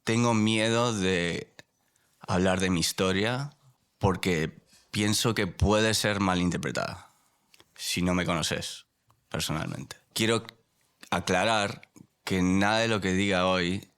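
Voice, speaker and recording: Deep voiced guy, raised in the us, careful and thoughtful, confessional podcast talk. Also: steady tone, a bit bright, clean tone. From born in Spain, raised in the United States. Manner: measured, reflective, choosing words carefully. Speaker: podcast guest.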